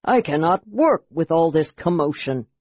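A man's voice: a little high, animated, normal speed, a little mellow, breathy edge. A man playing an acerbic, impatient master chronicler in an audiobook, with a curt, imperious, formal manner. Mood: irritated. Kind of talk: audiobook character voice. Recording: roomy echo, slightly dull sound.

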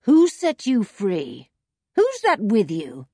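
A scheming scientist barks orders in a brusque, commanding way, as a woman reads him aloud. The voice is husky and swings through a lively range, recorded close and dry. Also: mid-range voice, takes it slowly, no echo, exaggerated voice. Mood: demanding. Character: scheming male villain scientist, curt. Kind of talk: storybook character voice.

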